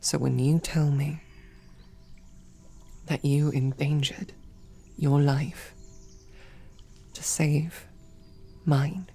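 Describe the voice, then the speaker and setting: A woman's voice: deep voice, husky, exaggerated voice. A woman voicing a devoted, whispering royal knight. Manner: soft, intimate, earnest questioning. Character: devoted female royal knight, protective, snarky. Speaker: knight in an audio roleplay. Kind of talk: whispered audio roleplay.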